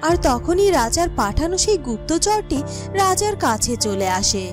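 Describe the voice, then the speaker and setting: A woman's voice: high voice, sing-song, normal speed. A woman narrating a scripted fairy-tale cartoon as a storyteller. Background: music.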